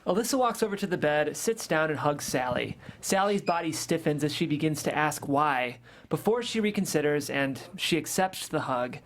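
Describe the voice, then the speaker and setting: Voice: medium pitch, expressive, moderate pace, hardly any pauses, slight background noise. A narrator reading stage directions aloud in a script table read, plain and matter-of-fact.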